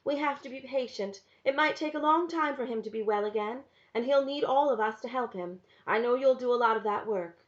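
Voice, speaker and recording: Brisk, practical frontier mother, firm and no-nonsense, read by a woman; dull lo-fi sound. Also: on the high side, voice pushed up, lightly acted.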